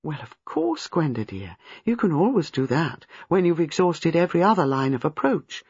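Woman doing a kindly old spinster, low, husky, soothing, measured, shrewd, storybook character. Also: big pitch swings, darkish tone, no echo, muffled recording, exaggerated voice. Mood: calm, practical. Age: older.

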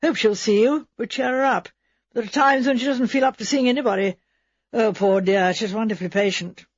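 Lady doing a gruff bossy english spinster, fifty, husky n abrupt, storybook reading, bit echoey. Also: a little high, a little fast, muffled recording. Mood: brisk. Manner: abrupt, clipped sentences.

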